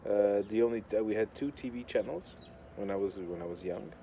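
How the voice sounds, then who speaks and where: A man from Portugal with a Portuguese accent rambles casually as a video host, with a deadpan, sardonic, sweary manner. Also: lowish voice, brisk. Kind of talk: casual rambling talk to camera. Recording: clear outdoor urban construction noise. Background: noise of building works.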